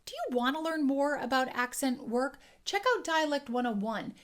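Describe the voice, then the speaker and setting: A woman dialect coach teaching an accent lesson, explaining clearly and demonstrating sounds. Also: high voice, lively intonation, normal speed, a bit bright.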